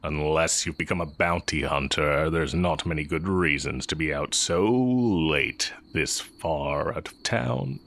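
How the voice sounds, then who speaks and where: A man acting as a sly, coolly teasing heretic magic teacher in an intimate audio roleplay, musing and taunting with drawn-out pauses. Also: a bass voice, gravelly, fairly bright tone, exaggerated voice. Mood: wry, musing.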